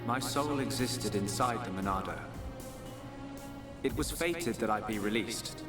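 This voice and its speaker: Game god: solemn, aloof, declamatory, distant and echoing under loud music. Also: male voice, on the low side, expressive, a little slow. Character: serene, aloof god reborn, pronouncing fate. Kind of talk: video game cutscene acting.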